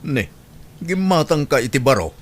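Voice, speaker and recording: A man's voice: medium pitch, sing-song, normal speed, close to an everyday voice. A man with an Ilocano Filipino accent playing a proud, easily disappointed father in a family audio drama. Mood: questioning. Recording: clear background noise with hum.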